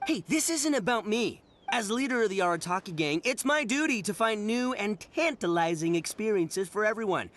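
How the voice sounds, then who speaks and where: A boastful young oni gang boss for an anime game. His voice is high, bright and punchy, with big lively swings. He swaggers in slangy, theatrical bursts over music. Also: normal speed, hardly any pauses. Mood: animated griping. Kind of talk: anime game dub acting.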